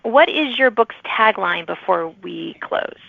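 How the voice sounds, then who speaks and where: A woman's voice: mid-range voice, animated, unhurried, gravelly, no room sound. A woman hosting a conversational interview in an affirming, encouraging manner. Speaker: podcast host, interviewer. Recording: narrow phone-like audio.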